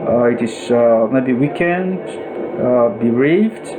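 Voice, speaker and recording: A man narrating a step-by-step software tutorial in a deliberate, instructional way, repeating and rephrasing himself as he goes. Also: medium pitch, lively intonation, background noise.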